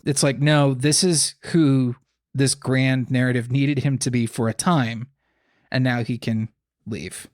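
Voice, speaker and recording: A man speaks as a podcast co-host in a relaxed, analytical book conversation. His pitch is average, and he works through thoughts aloud, doubling back on words. The sound is dry and close. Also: unhurried, no echo. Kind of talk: casual analytical book discussion.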